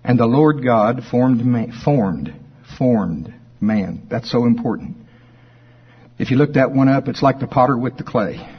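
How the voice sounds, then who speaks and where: A man preaching in an informal Bible study, conversational and folksy, slowing with deliberate emphasis as scripture is read aloud. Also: medium pitch, lively intonation, slightly rough, muffled recording. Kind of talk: informal Bible teaching to a congregation, reading verses aloud. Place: speaking live before a congregation.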